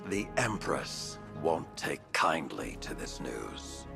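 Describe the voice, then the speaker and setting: Stern game envoy, extremely deep, very gravelly, formal, skeptical. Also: male voice, very animated, slow pace, exaggerated voice. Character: stern, formal male imperial envoy warrior. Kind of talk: dramatic video game cutscene acting. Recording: music behind the voice.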